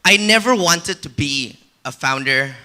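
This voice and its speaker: Bright-voiced man giving a relaxed talk. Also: fairly high pitch, measured pace. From Malaysia. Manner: relaxed and personal, with many fillers. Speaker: conference speaker. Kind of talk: conference talk. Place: conference stage before an audience.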